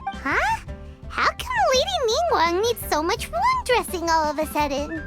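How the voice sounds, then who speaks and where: A woman voicing a tiny, chatty, childlike fairy companion in a video game, excitable and full of exclamations. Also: extremely high pitch, unhurried, over music, exaggerated voice. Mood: curious, chirpy. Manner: exclaims, speaks of herself in third person. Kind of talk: animated video game character acting.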